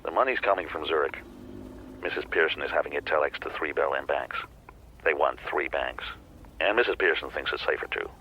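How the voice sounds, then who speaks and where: A guarded private detective in a radio drama, with an extremely low, deep voice. He answers tersely and cautiously, giving little away. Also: a little fast, pushed and bright, slight background noise, exaggerated voice, poor audio. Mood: cautious, reluctant. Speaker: actor.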